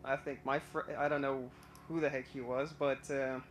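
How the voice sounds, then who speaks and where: A man's voice: mid-range voice, flat delivery, moderate pace, a little hiss or hum. A young man from Iraq calling in to a live stream, telling his story hesitantly with many fillers and restarts. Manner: nervous, admits stage fright. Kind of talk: casual personal story.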